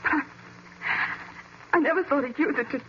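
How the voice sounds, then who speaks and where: A woman playing a hesitant, trusting young niece with an American accent, halting and fragile in 1940s radio acting style. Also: high-pitched, natural voice. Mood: hesitant, upset. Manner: halting, faltering. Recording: muffled, hissy old radio recording.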